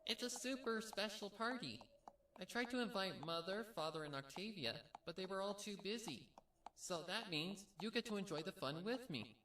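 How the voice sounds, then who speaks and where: A man voicing a bouncy, happy young female pony, an excited and eager older sister, in a story reading. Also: high-pitched, moderate pace, distant mic, noisy background, pitched above the performer's own voice.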